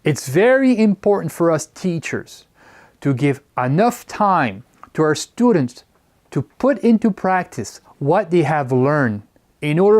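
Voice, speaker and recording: Guy teaching a seminar, slightly high voice, bouncy and animated, leans on key words. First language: French. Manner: lively, stretches and stresses key words. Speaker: teacher trainer. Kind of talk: lecture.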